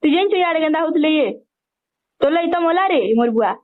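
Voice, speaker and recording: A woman's voice: extremely high pitch, lively intonation, normal speed. A young woman arguing accusingly in colloquial speech over a recorded phone call. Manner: accusatory, confrontational. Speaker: daughter in a family phone argument.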